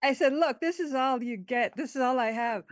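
A middle-aged woman with a quiet, soft-spoken voice, recorded close and dry with no room sound. She talks in a halting, relaxed way, full of fillers and restarts, often breaking into small laughs. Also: sits high, no echo. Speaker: poet and author, interview guest. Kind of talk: casual interview conversation.

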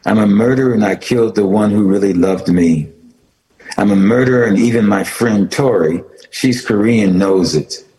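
Guy reading as a grieving sixteen yr old, somber and steady, lowish voice, echoey room. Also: natural voice. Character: sixteen-year-old grieving teen narrator. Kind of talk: reading a story aloud. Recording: roomy echo, faint noise.

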